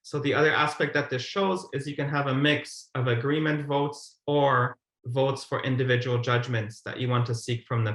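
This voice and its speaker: A man's voice: mid-range voice, a bit bright. Man presenting online in an echoey room. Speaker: webinar presenter. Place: indoors on a video call. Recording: roomy, echoey video call.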